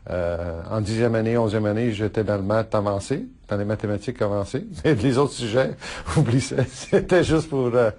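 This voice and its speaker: A middle-aged man whose first language is Italian, answering interview questions in a halting way with many fillers and restarts, laughing often. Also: fairly low pitch, a little mellow. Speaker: politician interviewee.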